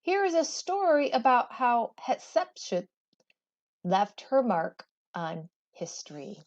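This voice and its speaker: A woman teacher reading a textbook aloud for schoolchildren in an expressive, deliberate way, with storybook-like phrasing and pauses. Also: a little high, muffled recording.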